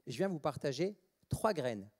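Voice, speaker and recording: A man from France, a mindset trainer, giving a short inspirational talk on stage with deliberate pauses and dramatic stress. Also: a little high, very animated, darkish tone, husky. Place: conference stage.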